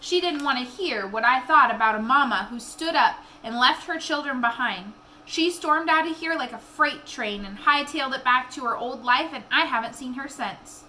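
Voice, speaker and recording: Woman acting a motherly country woman, bright voice, folksy, frank, wounded, storybook dialogue. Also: high-pitched, normal speed, a little hiss or hum, low-quality recording. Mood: angry. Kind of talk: storybook read-aloud dialogue.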